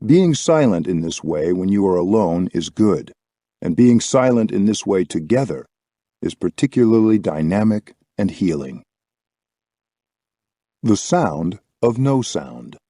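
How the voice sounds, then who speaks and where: A man reading a contemplative audiobook as scripted narration, in a measured way. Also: fairly low pitch, warm tone, dry recording, studio quality. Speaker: audiobook reader.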